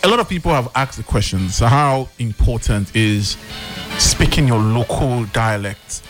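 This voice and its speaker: Nigerian host framing questions, faint music. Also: male voice, medium pitch, expressive, measured pace. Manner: conversational, hesitant fillers while framing questions. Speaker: talk show host. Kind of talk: hosting a panel discussion.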